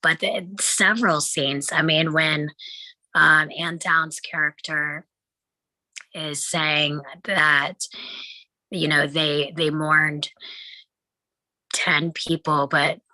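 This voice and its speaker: Woman chatting in a group discussion, really bright sharp voice, kinda low, takes her time. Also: steady tone, very slow, long pauses, no echo, rough recording. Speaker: table read participant discussing a film script.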